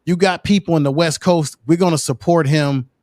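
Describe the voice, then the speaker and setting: Emphatic fifties man, higher voice. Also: moderate pace, a little mellow, no room sound, clean studio sound. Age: 50s. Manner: emphatic, preachy, building points. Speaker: co-host. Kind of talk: casual panel discussion.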